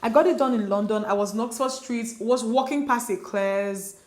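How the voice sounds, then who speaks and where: A woman's voice: fairly high pitch, normal speed, darkish tone, echoey room. A young Nigerian woman whose first language is Igbo, chatting casually to camera with lots of fillers. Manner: chatty, filler-heavy, confiding. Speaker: beauty vlogger.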